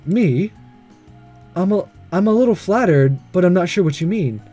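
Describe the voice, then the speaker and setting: A man voicing a gentle, measured woman teacher and principal in a visual novel, kind and careful in manner. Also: high-pitched, expressive, husky, soft background music. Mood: calm, curious. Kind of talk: reading visual novel dialogue aloud.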